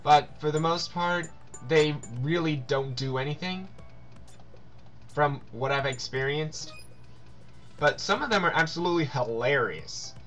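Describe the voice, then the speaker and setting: A man's voice: a little high, measured pace, a bit bright, soft background music. A man doing casual game commentary, reading on-screen text aloud and stumbling over words now and then.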